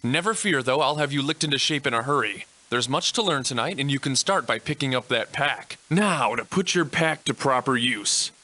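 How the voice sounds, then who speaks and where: A man's voice: medium pitch, lively intonation, normal speed, few pauses, sharp tone. A man voicing a pompous, eccentric Victorian-style instructor in a video game, cheerfully insulting and florid in his old-fashioned phrasing. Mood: brisk, instructive. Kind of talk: theatrical video game tutorial narration.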